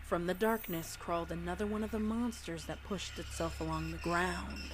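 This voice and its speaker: Lower-pitched woman reading a story. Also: moderate pace. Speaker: fan fiction reader and reactor. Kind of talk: storybook narration with casual reaction asides. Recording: faint background noise.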